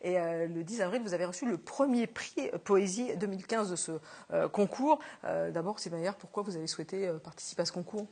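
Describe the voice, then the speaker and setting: A woman presenting local TV news and interviewing a guest in the studio, reading clearly with a few fillers. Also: fairly low pitch, fast, warm tone.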